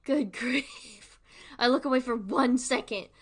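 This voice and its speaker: A woman's voice: on the high side, a little slow, husky. A woman hosting a livestream with casual, informal commentary, rambling and thinking aloud as she goes. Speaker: livestream host.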